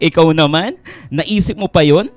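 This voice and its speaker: A man playing a caring, gently fussing young college boyfriend in a Filipino radio drama, with an expressive, tender delivery. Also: fairly high pitch, takes it slowly. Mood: bashful, amused. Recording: music bed under the voice. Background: background music.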